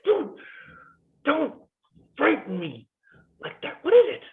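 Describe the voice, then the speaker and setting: A man's voice: wildly swooping pitch, takes it slowly, creaky, full character voice. A man voicing a weary, jittery Swiss mercenary soldier in a cold-read play reading over a video call, wry and pleading, his voice jumping up in sudden leaps. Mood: jumpy. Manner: nervous, wry and pleading, with jumpy pitch leaps. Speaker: cast reader in a play reading. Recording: tinny phone-like video call audio.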